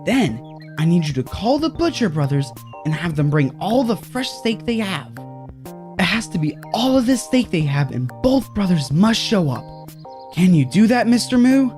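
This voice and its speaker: A woman's voice: fairly low pitch, big pitch swings, normal speed, a little mellow, soft background music. A woman voicing a scheming, sinister fixer who gives orders in a bossy, theatrical way in an audio horror comedy. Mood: commanding, scheming. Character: scheming, commanding, sinister fixer named Fred.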